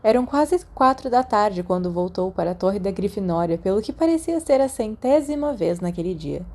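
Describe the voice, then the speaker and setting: Brazilian lady reading a story out loud, mellow warm voice, normal pitch. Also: expressive, normal speed. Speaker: audiobook narrator. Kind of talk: scripted fan fiction narration with acted dialogue.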